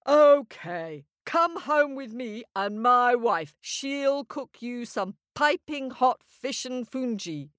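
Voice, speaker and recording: Lady putting on a big greedy two-headed giant, loud and booming, slow talker. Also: animated, no room sound, clean studio sound. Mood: booming, wheedling. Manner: roaring, bellowing.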